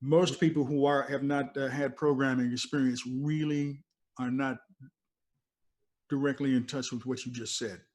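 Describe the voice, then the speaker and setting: A man's voice: mid-range voice, fairly even intonation, normal speed, darkish tone. An older man, a veteran radio DJ, talking casually and reminiscing in an informal, conversational way as an interview guest. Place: video call from a cluttered room.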